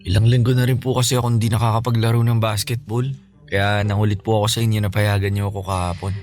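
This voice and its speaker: A man's voice: fairly low pitch, flat delivery, quick pace, a little mellow, faint music behind, close to an everyday voice. A man playing a respectful, playful, sickly teenage son in a Filipino radio drama. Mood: sheepish. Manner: respectful, boyish, pleading.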